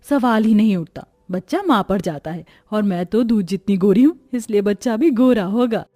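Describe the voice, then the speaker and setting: A woman's voice: medium pitch, animated, moderate pace, warm tone, lightly acted. A woman voicing a vain, spiteful mother in a dramatic, haughty way for a moral story cartoon. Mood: proud. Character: vain, spiteful mother, scornful to one daughter, doting on another. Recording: music behind the voice.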